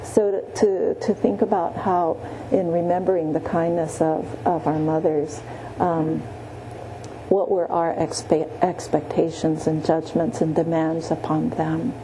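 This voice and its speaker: Woman meditation teacher, slow and gentle, dark mellow tone, faint background hiss. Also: deep voice, flat delivery, rough recording. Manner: gentle, many pauses and restarts, frequent "yeah". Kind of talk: guided Buddhist meditation teaching. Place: meditation room.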